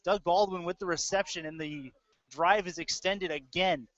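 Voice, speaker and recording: Male football play-by-play commentator, forward bright tone, clipped and casual, slightly muffled webcast sound. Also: high-pitched, normal speed, no room sound. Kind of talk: live American football commentary. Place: indoor audio-only webcast. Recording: dull lo-fi audio.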